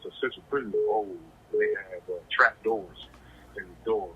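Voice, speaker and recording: A man's voice: on the low side, moderate pace, slightly rough. A man talking casually over a noisy prison phone line, reflective and plain-spoken, telling anecdotes as an interviewee. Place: correctional facility phone. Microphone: telephone handset.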